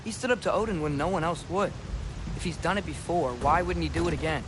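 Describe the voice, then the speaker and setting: A man's voice: on the high side, fast talker, background noise, close to an everyday voice. An actor playing an earnest, restless teenage boy in a video game, with a light youthful voice and casual modern phrasing. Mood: earnest, frustrated. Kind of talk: video game dramatic acting.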